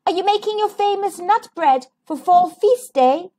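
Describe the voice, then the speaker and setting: A woman voicing an eager, high-pitched porcupine lady. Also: animated, moderate pace, dark tone. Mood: eager, friendly. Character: kind, excitable, generous female porcupine.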